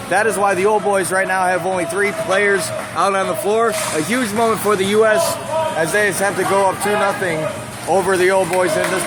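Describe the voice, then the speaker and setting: A hoarse male play-by-play announcer with a high voice, calling a hockey game from a cramped booth. He keeps a brisk pace and bursts into shouts on key plays. A loud crowd and heavy arena noise fill the background. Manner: brisk, rising to excited shouts on big plays. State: hoarse from calling many games. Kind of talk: live sports play-by-play commentary. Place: small broadcast booth above an indoor rink.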